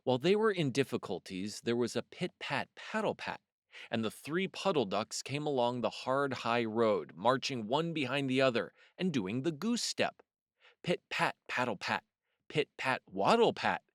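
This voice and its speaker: Animated man reading a children's storybook. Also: mid-range voice, moderate pace, no room sound, studio quality. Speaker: storyteller, host of a read-aloud series.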